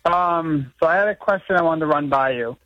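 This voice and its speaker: A man in his early twenties talking haltingly and conversationally as a radio show caller. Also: on the high side, no room sound, muffled recording, faint music behind. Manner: hesitant, halting. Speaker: phone-in caller. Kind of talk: conversational call-in.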